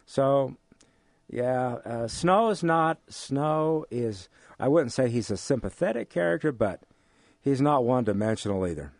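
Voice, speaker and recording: An older American man giving thoughtful interview answers in a measured, formal way, pausing as he searches for words. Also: mid-range voice, lively intonation, gravelly, darkish tone, no echo, studio quality. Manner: measured, deliberate, formal wording with hesitant pauses. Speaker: interview guest, college history teacher. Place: radio studio.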